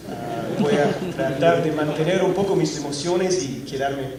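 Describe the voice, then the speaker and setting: Man, halting with fillers, conference talk, very echoey hall, distant mic. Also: a little high, over a noisy crowd. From Scotland. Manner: hesitant, many fillers and restarts. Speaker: conference speaker. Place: large auditorium. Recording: echoey hall recorded from afar.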